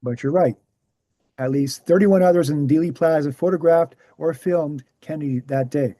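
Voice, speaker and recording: A man playing an earnest researcher in a screenplay table read, explaining things in a measured, assured way. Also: a little high, mellow, natural voice. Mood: matter-of-fact. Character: Gary, earnest male presenter, calm debunking researcher.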